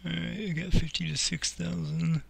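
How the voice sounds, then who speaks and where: A man's voice: a little high, flat delivery, normal speed, vocal fry. A man playing an air traffic controller, mixing radio phraseology with casual commentary on his game.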